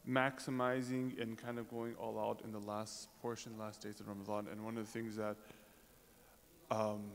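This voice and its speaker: A man giving an informal sermon to a congregation, talking in a conversational way with pauses, fillers and rhetorical questions. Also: medium pitch. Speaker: religious speaker. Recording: reverberant hall.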